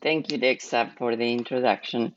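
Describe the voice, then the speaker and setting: An older woman with an Argentine Spanish accent, answering interview questions as a guest in a measured, reflective way. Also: extremely low pitch, fairly even intonation, lo-fi sound, slight background noise. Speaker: guest author, psychologist.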